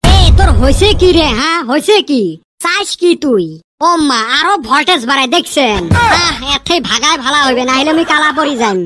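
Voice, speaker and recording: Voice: high voice, animated, brisk, over music. A performer voicing a mischievous village kid in a comedy cartoon, complaining and exclaiming with a northern Bengali accent. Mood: cheeky, complaining. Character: mischievous village kid grumbling about the heat.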